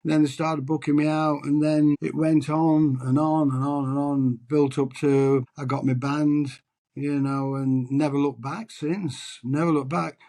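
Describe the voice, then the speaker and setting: A man's voice: fairly high pitch, flat delivery, a little slow, dark tone. A middle-aged man from England, chatting in an interview as a tribute singer, self-deprecating and rambling with restarts. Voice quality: husky. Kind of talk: casual interview chat.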